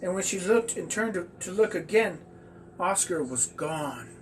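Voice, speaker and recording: High-pitched man reading a children's story. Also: expressive, measured pace. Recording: distant mic with faint background noise.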